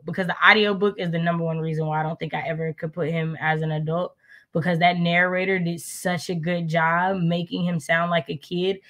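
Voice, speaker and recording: A woman's voice: lowish voice, a bit bright. A woman in a casual book chat over a video call, informal, circling back and posing rhetorical questions. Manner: informal, repeats herself, asks rhetorical questions. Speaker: book discussion panelist. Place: indoor room, video call.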